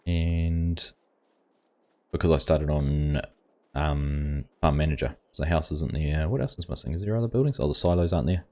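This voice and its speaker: A man's voice: very deep voice, normal speed, a little mellow. A man hosting a gaming livestream, chatting casually and reading viewers' comments aloud. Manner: rambling, reads chat aloud and answers viewers.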